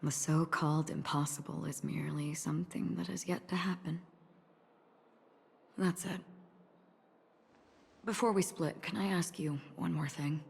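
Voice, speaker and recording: A woman playing a calm, enigmatic, courteous woman in a game cutscene, speaking softly and with reserve. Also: low-pitched, unhurried, gravelly, pauses often, breathy edge, heavily acted. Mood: quiet, pensive. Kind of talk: scripted dramatic game cutscene acting.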